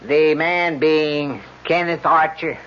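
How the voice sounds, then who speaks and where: A methodical defense lawyer in old radio play, really slow, dull muffled tape. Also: male voice, fairly high pitch, fairly even intonation, clear, resonant tone. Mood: formal, probing. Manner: deliberate, formal questioning. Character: methodical male defense lawyer, weary, questioning a witness. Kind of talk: 1970s radio drama acting.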